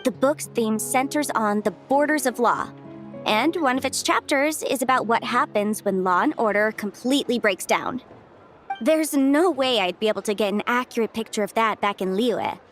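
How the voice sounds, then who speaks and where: A woman's voice: on the high side, fairly bright tone, close to an everyday voice. A woman voicing a chatty young female legal consultant with adeptal blood and small horns, in video game dialogue. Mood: confident. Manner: brisk, chatty, flustered when dodging questions. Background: game music.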